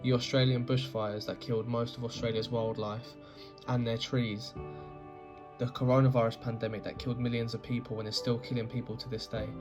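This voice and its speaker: A man's voice: medium pitch, flat delivery, a little fast. A man reading earnest narration for his own short film about a hard year. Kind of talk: read narration. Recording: music bed under the voice.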